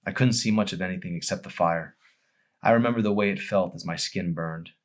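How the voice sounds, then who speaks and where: A man's voice: low-pitched, fairly quick, natural voice. A man voicing a hurt, emotional young girl in an audiobook, with an emphatic, pleading manner. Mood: sad recollection.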